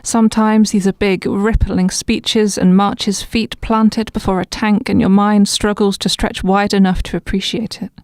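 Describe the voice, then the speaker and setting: A woman, an articulate, measured guest poet speaking on a poetry podcast. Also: medium pitch, little pitch movement, a little mellow, smooth, no echo. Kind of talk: podcast discussion and spoken-word poetry reading.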